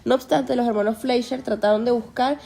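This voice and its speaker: A woman's voice: a little high, steady tone, fairly quick, gravelly, warm tone. A young woman giving a scripted educational narration as a student narrator.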